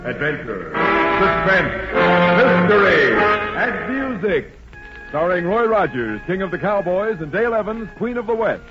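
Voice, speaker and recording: A man's voice: sits high, expressive, unhurried. An American man announcing a show in the style of 1940s radio. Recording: muffled old radio, music behind.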